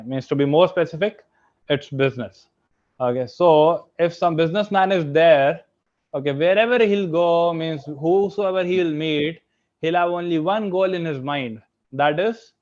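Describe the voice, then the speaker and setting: A man's voice: a little high, lo-fi sound. A young man with an Indian accent giving an online lecture with slides. He keeps filling his sentences with "okay" and "means" and puts questions to the audience. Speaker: guest speaker, software engineer.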